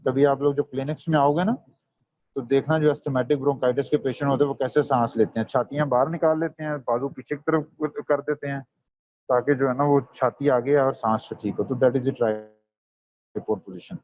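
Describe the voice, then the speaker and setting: A man leads a medical revision class. He fires questions at his students and slips between Hindi and English terms. His voice sits at an ordinary pitch and comes through a dry, muffled, lo-fi microphone. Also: steady tone, normal speed, dry recording. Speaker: medical lecturer. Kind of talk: online revision lecture. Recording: dull dry lo-fi audio.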